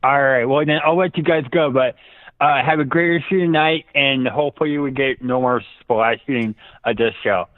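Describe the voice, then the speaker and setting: A man's voice: mid-range voice, normal speed, no echo. A man chatting casually as a regular caller phoning in to a talk show. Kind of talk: casual phone call-in chat. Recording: dry, narrow phone line.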